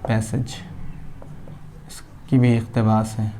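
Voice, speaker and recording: A male teacher giving a classroom lecture at a board, dictating names slowly word by word and answering his own questions. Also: lowish voice, little pitch movement, dark tone, slight background noise. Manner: asks the class questions and answers them himself.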